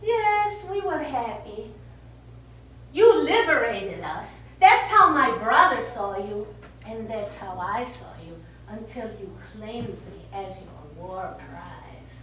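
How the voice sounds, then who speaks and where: An actress on stage playing a bitter, wounded older Italian woman, speaking in a deliberate, accusing manner. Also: on the high side, sing-song, sharp tone, heavily acted. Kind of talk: stage play acting. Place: small theatre studio, recorded from afar. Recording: echoey, distant stage recording with hiss.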